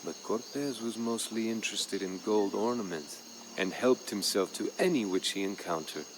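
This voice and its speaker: A man playing a proud, grave Aztec jaguar warrior who solemnly recounts his people's fall as a strategy game's campaign narrator, in a measured, dramatic way. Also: on the low side, a little hiss or hum, natural voice, low-quality recording. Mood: solemn, wary. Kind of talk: solemn scripted storytelling narration for a strategy game.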